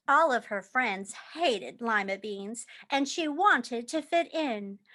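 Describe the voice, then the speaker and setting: A woman reading a children's storybook aloud in an animated, emphatic way. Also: high voice. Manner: animated, drawn-out emphatic phrasing.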